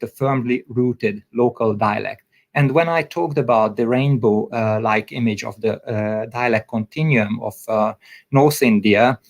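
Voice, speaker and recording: A man whose first language is Hungarian gives an academic lecture in English, pausing often with filler sounds. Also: mid-range voice. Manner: hesitant, many filler sounds.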